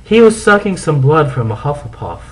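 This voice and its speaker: A man's voice: a little high, sing-song, normal speed, low-quality recording. A man narrating fan fiction as a comic dramatic reading, theatrical and mock-serious.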